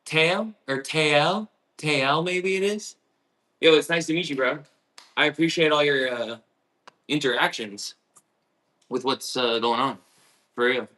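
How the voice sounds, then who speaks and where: A man's voice: a little high, measured pace, pauses often, pushed and bright. A young man chatting casually as he bakes, rambling and laid-back, with lots of slang. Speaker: home baker. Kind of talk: casual chat while baking.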